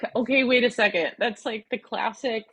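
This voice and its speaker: A woman's voice: a little high, a little fast, fairly bright tone, husky. A woman college admissions counselor teaching an online workshop over a video call, encouraging and full of ums and restarts.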